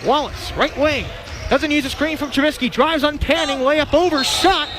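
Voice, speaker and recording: A man doing radio play-by-play of a basketball game, calling the action in rapid, punchy bursts. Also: very high-pitched. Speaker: play-by-play announcer. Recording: live arena broadcast with crowd and music.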